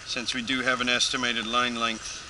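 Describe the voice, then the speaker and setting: A man's voice: medium pitch, completely flat delivery, moderate pace, echoey room, in a large hall, background noise. Man narrating HVAC work on a head camera. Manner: methodical, talks through readings. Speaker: HVAC technician. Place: attic, garage and outdoors in snow. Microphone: head-mounted action camera.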